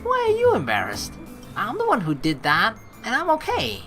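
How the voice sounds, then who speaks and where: A woman plays through a game aloud with a bright, forceful voice. Her pitch swings widely as she playfully narrates and rambles, with faint music underneath. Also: wildly swooping pitch, moderate pace. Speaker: let's play host. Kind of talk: casual game playthrough commentary mixed with reading narration aloud. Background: game background music.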